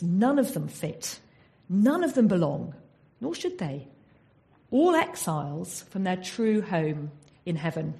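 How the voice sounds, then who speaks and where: A woman's voice: mid-range voice, dark tone. An English woman giving a Bible talk in an earnest teaching manner, often asking her listeners rhetorical questions. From England. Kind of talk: sermon.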